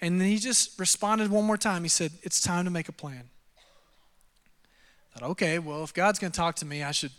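A pastor from Texas in his thirties preaches in a loose, conversational style, joking at his own expense and leaning on fillers. His voice sits high for a man and sounds smooth and resonant. A long reverb from a church hall trails each phrase. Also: normal speed, long pauses, a bit bright. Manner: conversational, self-deprecating storytelling with frequent fillers. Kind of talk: sermon with personal testimony. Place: church auditorium.

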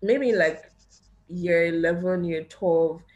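Relaxed young woman, low voice. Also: slow pace, muffled recording. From Nigeria. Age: young adult. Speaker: podcast host. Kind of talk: casual podcast chat.

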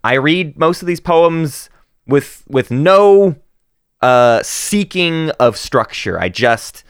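A man in his thirties co-hosting a casual book podcast, talking with animated energy, laughing and often restarting his sentences. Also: fairly high pitch, takes it slowly, clear, resonant tone, no echo, clean studio sound. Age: 30s. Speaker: podcast co-host.

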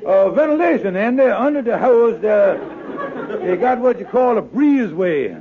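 A man's voice: high-pitched, lively intonation, normal speed, crowd noise. A man playing a blustering, slippery con man in a 1950s radio sitcom, folksy in dialect and full of hemming salesman's patter. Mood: bluffing. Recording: narrow, noisy vintage radio recording with clicks.

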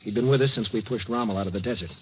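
Through a muffled, noisy vintage radio recording comes a man acting as an anxious young ex-soldier. He talks quickly in a lowish voice, earnest and clipped, like a frightened client in a fifties detective show. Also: natural voice. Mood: tense, recounting. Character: frightened young war-veteran college student, earnest and shaken. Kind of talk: 1950s radio drama acting.

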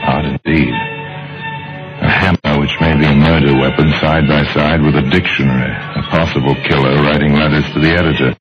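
A man narrating a radio crime mystery as a grave, wry storyteller, measured and dramatic, in an old recording. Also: very deep voice, little pitch movement, muffled recording, over music. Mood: brooding.

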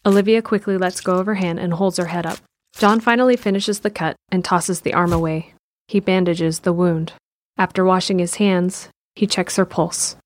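Lower-pitched woman narrating an audio drama. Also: steady tone, moderate pace. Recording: faint music under the voice.

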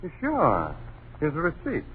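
A man's voice: fairly high pitch, huge pitch swings, measured pace. An actor playing a brash, easygoing young husband and father in a 1930s radio drama, talking in a breezy, slangy, blustering way. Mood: breezy, placating. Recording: scratchy narrow-band 1930s radio recording.

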